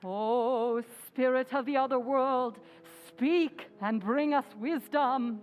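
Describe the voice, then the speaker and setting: An actress playing a bossy, pompous society hostess who fancies herself a medium, in old-time radio drama style with grand theatrical delivery. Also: female voice, sits high, takes it slowly. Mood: solemn, theatrical. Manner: imperious, theatrical, grandly intoning. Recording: echoey hall, live audience behind.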